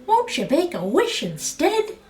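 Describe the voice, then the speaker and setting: A woman's voice: very high-pitched, huge pitch swings, unhurried, a little mellow, pitched above the performer's own voice, exaggerated voice. A woman voicing a clever little male leprechaun in a storybook, pleading and wheedling in folksy Irish phrasing.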